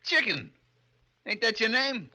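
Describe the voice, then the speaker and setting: Shrill, jeering American gangster loudmouth. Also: male voice, sing-song, unhurried, exaggerated voice. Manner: taunting, crowing. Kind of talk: old-time radio crime drama.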